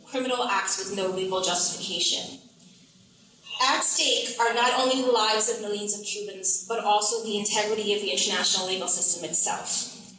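Woman reading a statement at a hearing, bright voice, big echoey room. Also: mid-range voice, a little hiss or hum. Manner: firm, deliberate, emphatic. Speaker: witness testifying at a hearing. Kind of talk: formal prepared statement read aloud. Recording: echoey room, voice from far off mic.

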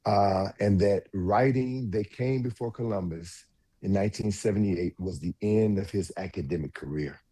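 Deep voiced guy on a radio panel, raspy and mellow, kinda halting. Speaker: panel guest. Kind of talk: thoughtful radio discussion.

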